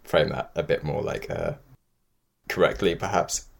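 A man's voice: very deep voice, big pitch swings, a little slow, gravelly. A man chatting casually as a podcast co-host, agreeable and a little hesitant, with loosely phrased remarks. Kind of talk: casual chat.

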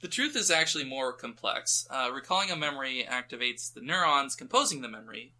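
A man's voice: mid-range voice, pushed and bright, poor audio. A man whose first language is not English, co-hosting a podcast and explaining notes, stumbling over words and mocking himself. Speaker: podcast co-host presenting the topic. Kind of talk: casual chat, reading notes.

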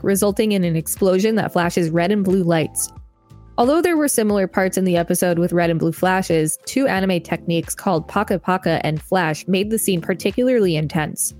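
A woman co-hosting a podcast, reading an article aloud and chatting, with wry, quick reactions. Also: lowish voice, darkish tone. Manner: wry, quick reactions between read passages. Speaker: podcast co-host.

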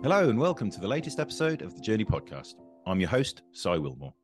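Middle-aged male podcast host; quick, animated, low voice, friendly chatter. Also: over music. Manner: upbeat, chatty, quick encouraging interjections. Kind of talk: hosting an interview podcast.

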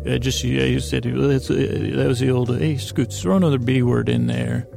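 Gravelly rambling man hosting a podcast. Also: medium pitch, fairly even intonation, normal speed, over music. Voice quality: gravelly, creaky voice. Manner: meandering, self-interrupting, drifts in pace. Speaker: podcast host. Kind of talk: rambling bedtime podcast talk.

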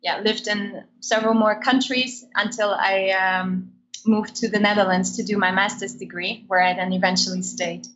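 A woman's voice: medium pitch, fairly even intonation, moderate pace, a bit bright, dull audio, slight background noise. Raised woman with hesitant ums. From Germany. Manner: many hesitant ums while explaining. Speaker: podcast guest. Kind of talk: interview conversation.

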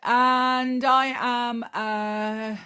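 A woman's voice: on the high side, flat delivery, extremely slow, pushed and bright, smooth. A woman in her late forties from Ireland, a podcast guest chatting casually with her family and laughing often. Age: 40s. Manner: laughing, self-deprecating.

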